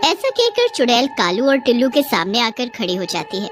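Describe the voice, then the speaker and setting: A woman's voice: on the high side, wildly swooping pitch, faint music behind. A woman storyteller delivering scripted narration for a tale.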